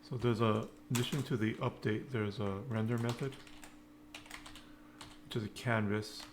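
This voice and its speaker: A man giving an informal live-coding tutorial, thinking aloud in halting, piecemeal phrases. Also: lowish voice, fairly even intonation. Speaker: programming tutorial instructor. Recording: noticeable background noise, keyboard typing.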